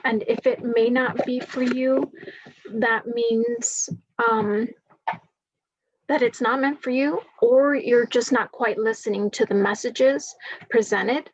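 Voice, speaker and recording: A woman with a groggy voice giving a spiritual forecast, talking slowly and wandering with many fillers. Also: on the high side, dry recording, lo-fi sound. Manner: slow, meandering, many fillers. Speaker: spiritual reader.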